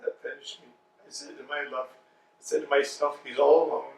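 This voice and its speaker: Estragon, a man playing a gravelly weary tramp. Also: on the high side, normal speed. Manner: gloomy and plaintive, flat denials. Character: weary, beaten-down male tramp. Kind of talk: staged play reading. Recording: echoey room, mic far off.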